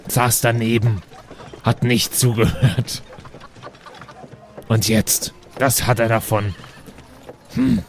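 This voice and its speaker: A naive, sulky adventurer, played by a man in an improvised fantasy audio play, muttering to himself. The delivery is very slow and halting, almost childlike, with a creaky edge. Faint ambient noise sits behind the voice. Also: medium pitch.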